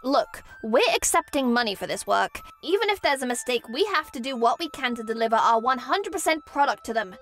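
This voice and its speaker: A woman's voice: on the high side, animated, a little fast, hardly any pauses, a bit bright, faint music behind. A woman voicing an anxious, perfectionist young career woman with a dramatic, needy streak, in an anime-style dub. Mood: firm, composed. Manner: melodramatic, whiny when distressed, composed at work.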